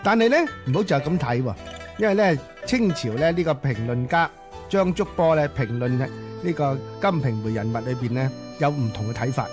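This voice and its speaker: A male radio co-host with a slightly high voice chats about literature. He speaks in emphatic short phrases, stretching the particles, over clear background music. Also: expressive, moderate pace. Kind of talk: conversational literary commentary on radio. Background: music under the voice.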